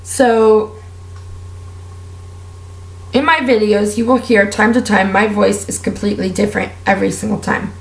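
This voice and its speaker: A woman with a raspy, strained voice, talking candidly and at length while doing her makeup for a vlog. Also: medium pitch, fairly even intonation, moderate pace, long pauses, reverberant, rough recording. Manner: candid, heartfelt, rambling with fillers. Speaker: beauty vlogger. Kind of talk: casual personal rant while doing makeup.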